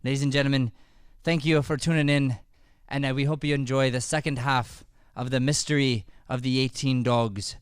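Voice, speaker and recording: A man's voice: medium pitch, fairly even intonation, moderate pace, few pauses, clear, resonant tone, no echo. A man hosting an improv comedy show, speaking unscripted and casually into a close podcast microphone. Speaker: improv show host and performer.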